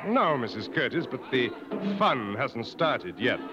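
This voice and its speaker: An actor playing a seasoned safari hunter and guide, blunt and dryly wry, in a 1950s radio drama. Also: male voice, medium pitch, sing-song, measured pace. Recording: muffled 1950s radio broadcast, music behind.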